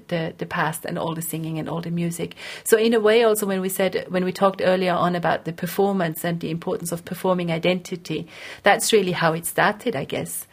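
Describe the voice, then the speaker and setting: A woman researcher explaining her work in an interview, thoughtfully repeating phrases as she forms her points. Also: on the low side.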